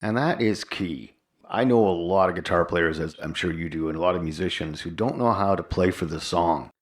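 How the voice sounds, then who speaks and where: A man with a very deep voice hosts an interview podcast. He speaks in a conversational style as the interviewer. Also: normal speed.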